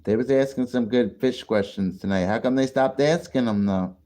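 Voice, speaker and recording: A man's voice: medium pitch, warm tone. A tired man chatting loosely on a late-night livestream, drinking and smoking as he rambles. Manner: rambling, slow and drawn-out, drinking and smoking on stream.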